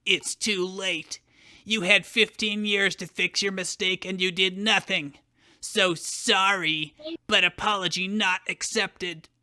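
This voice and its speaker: A man's voice: sits high, steady tone, slow pace, sharp tone, dry recording, clean studio sound, full character voice. A man voicing an angry, sarcastic young man, a betrayed son, with a scornful, snarling delivery in a fan fiction reading. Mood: unsympathetic.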